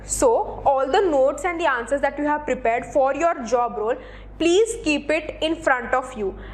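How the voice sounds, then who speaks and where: High-pitched woman giving instructional advice. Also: moderate pace. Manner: didactic, addressing the listener directly. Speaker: presenter of interview tips for job seekers. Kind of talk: instructional advice talk, semi-scripted.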